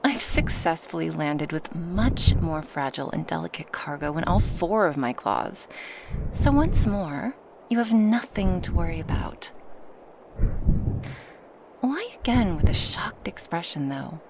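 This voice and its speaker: A woman's voice: lowish voice, expressive, a little slow, gravelly, noisy background. A woman voicing a huge, proud dragoness in an ASMR roleplay, speaking formally to her human, teasing yet protective, at times whispery. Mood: teasing, reassuring. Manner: slightly formal phrasing, teasing, reassuring. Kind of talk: ASMR roleplay monologue addressed to the listener.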